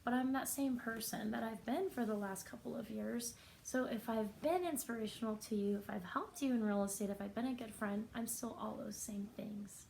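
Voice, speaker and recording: A woman with a soft, raspy voice, talking earnestly and reflectively in a personal vlog. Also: a little high, a little hiss or hum, low-quality recording. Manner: earnest, reflective, unhurried with pauses. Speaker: vlogger.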